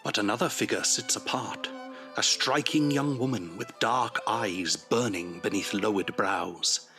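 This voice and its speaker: A man's voice: lowish voice, a little slow, over music. A man giving scripted dramatic narration as the narrator of an audio drama.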